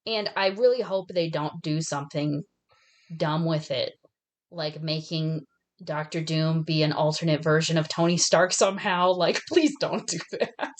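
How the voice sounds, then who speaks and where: A woman's voice: low-pitched, measured pace. A woman co-hosting a podcast in a casual, conversational and opinionated way as she discusses films. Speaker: podcast co-host. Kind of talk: casual film discussion chat.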